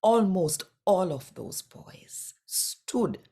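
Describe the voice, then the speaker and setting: A woman from Jamaica with a Jamaican accent, telling a faith testimony in an earnest, measured way. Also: fairly low pitch, animated, dark tone. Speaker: Bible reader sharing testimony. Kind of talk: personal faith testimony to camera.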